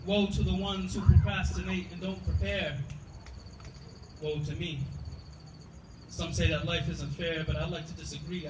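A man giving a spoken word poetry reading with a rhythmic, rhyming delivery. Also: sits high. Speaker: poet. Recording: echoey room from far off, loud noise.

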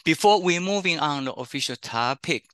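Bright-voiced Taiwanese man leading workshop. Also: on the high side, lively intonation, moderate pace, clean tone, no room sound, clean studio sound. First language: Chinese. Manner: chatty, frequent "okay, huh?" tags. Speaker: career counselor. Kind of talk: online workshop presentation. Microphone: video call.

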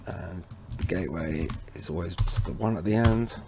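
Man, fairly low pitch, tech tutorial presenter, informal, instructional, casual screen-recording walkthrough. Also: moderate pace, noisy background. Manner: informal, instructional, addresses viewers as guys. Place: indoors at a computer, voice over screen recording.

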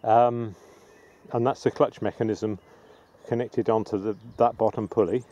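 A middle-aged man giving a casual, unhurried, matter-of-fact gardening vlog demonstration in a rambling way. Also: fairly low pitch, steady tone, warm tone, highs cut off. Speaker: allotment gardener presenting own video. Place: outdoors on an open allotment plot. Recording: outdoor background noise with birdsong.

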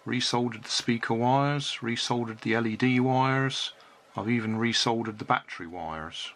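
An older British man narrating a hands-on repair in a casual, unhurried, matter-of-fact way. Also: mid-range voice, a bit bright. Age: 60s or older. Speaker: hobbyist repairing and reviewing a toy. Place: cluttered indoor hobby workbench. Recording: noisy room with clicks and handling sounds.

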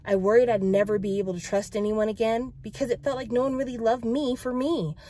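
A woman's voice: fairly high pitch, steady tone, fairly quick, mellow, a little hiss or hum, pitched above the performer's own voice, lightly acted. A woman voicing a resilient, brashly confident young female pop idol in a book read-aloud, frank with some jokey bravado. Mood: encouraging.

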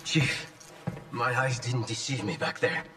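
A man voicing a frightened, bitter pilot who speaks sarcastically and breaks into desperate shouts. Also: medium pitch, a little slow, recorded from a distance. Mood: angry despairing. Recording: game audio with music, off-mic.

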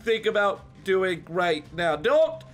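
A man playing an excitable, hesitant superhero leader who gives orders in an improvised video game roleplay. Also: sits high, slow pace, heavily acted. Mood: bossy, flustered. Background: music.

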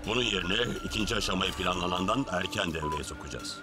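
Deep, menacing robot villain. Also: male voice, lively intonation, fast talker. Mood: cold confidence. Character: menacing, coldly confident robot henchman villain. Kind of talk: animated series dubbing. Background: loud music.

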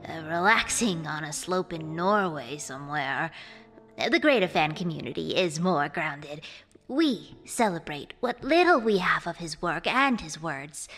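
A woman playing a fondly rueful academic expert in a mock documentary, talking with hesitant, thoughtful pauses. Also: lowish voice, a bit bright. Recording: long reverberant hall sound. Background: music.

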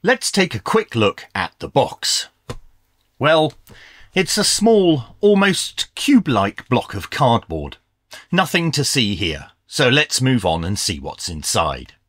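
A man's voice: medium pitch, big pitch swings, takes it slowly, a bit bright. A middle-aged man presenting a gear review as a video host, in a small indoor workshop. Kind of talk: scripted product review with hands-on commentary.

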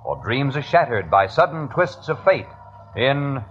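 A man's voice: mid-range voice, very animated, measured pace, a little hiss or hum. A man announcing a 1950s radio drama in a dramatic, portentous manner. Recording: muffled old radio recording over loud music. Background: loud dramatic music.

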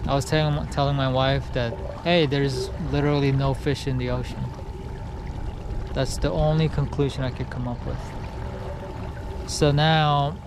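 Slow, tipsy man on a kayak at sea. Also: medium pitch. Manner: slow, relaxed, rambling with many fillers. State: a little tipsy. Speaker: fishing vlogger. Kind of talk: casual talk to camera. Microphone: action camera mounted on the kayak. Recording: clear sea wind and water noise. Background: wind and water noise.

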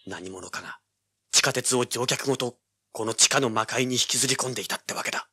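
An actor playing a cocky, tough young treasure hunter, rough-spoken and sardonic, narrating and speaking in a hard-boiled audio drama. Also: male voice, medium pitch, a little fast, natural voice. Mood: tense, cool. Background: dramatic music.

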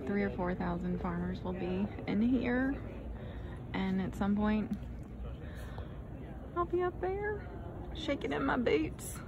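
A woman, vlogging casually to a handheld phone in a convention center, chatty and laughing often. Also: medium pitch. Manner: chatty, laughs often, speaks softly at times. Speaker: farm vlogger. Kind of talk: casual vlog to camera. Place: large convention center and busy bar. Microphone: handheld phone at arm's length. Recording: loud crowd and background noise.

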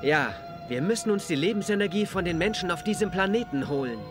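A man's voice: high voice, animated, fast talker, music in the background. A man voicing a solemn young alien villain in an anime audio drama, grave and theatrical. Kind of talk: German-dubbed anime radio play.

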